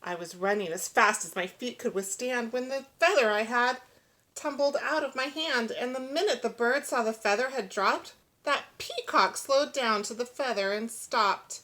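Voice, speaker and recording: A woman reading a children's picture book aloud, giving the rhyming verse an expressive, rhythmic delivery. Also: fairly high pitch, moderate pace. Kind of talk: children's storybook read-aloud.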